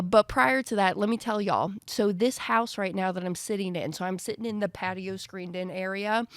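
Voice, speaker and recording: A woman's voice: mid-range voice, no room sound. A young woman hosts her podcast in a loose, chatty way, sprinkling in plenty of y'alls. Her nose is stuffed up from allergies, so she sounds congested. The recording is close and dry. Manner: chatty, folksy, says y'all often. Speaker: podcast host. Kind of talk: casual podcast talk to viewers. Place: screened-in patio at a house.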